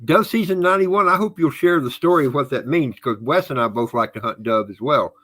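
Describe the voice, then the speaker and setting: A middle-aged man with a bright, forceful voice chats on a podcast livestream. He is friendly and folksy and greets viewers warmly by name. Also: mid-range voice, fairly quick. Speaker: podcast co-host. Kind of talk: casual livestream chat.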